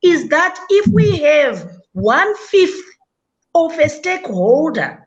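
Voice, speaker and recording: Woman panelist, high pitch, earnest and deliberate, slightly muffled recording. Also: big pitch swings. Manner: earnest, deliberate, self-critical. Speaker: panelist, education leader. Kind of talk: panel discussion.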